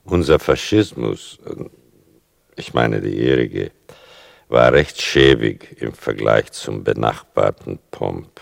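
An older man acting in a radio play as a writer son who narrates his mother's story, wry and deliberate, dwelling on small details, with a gravelly voice. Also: extremely low pitch, expressive, no room sound, clean studio sound, full character voice. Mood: sombre, ironic.

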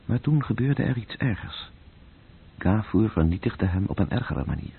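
An actor playing a sombre, detached storyteller, narrating a radio play in a very husky literary voice. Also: male voice, fairly low pitch, animated, normal speed, full character voice. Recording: muffled, phone-like old radio recording.

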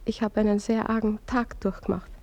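An actress playing an anxious young wife and mother who confesses her jealousy in a hushed, halting voice in a radio play. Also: medium pitch, flat delivery, highs cut off, close to an everyday voice. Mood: confessing.

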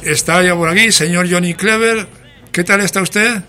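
Bright, playful Spanish male radio host. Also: sits high, normal speed. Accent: Spanish from Spain. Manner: jocular, theatrical, exclamatory. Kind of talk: chatty, playful show presentation. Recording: music playing behind the voice. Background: music.

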